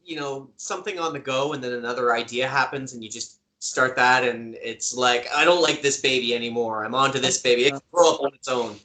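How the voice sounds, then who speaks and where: A man's voice: medium pitch, a little fast, few pauses, bright, forceful tone, rough recording. A man hosting a casual zine show, joking and upbeat as he plugs his own work. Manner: jokey, upbeat plugging. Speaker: podcast host.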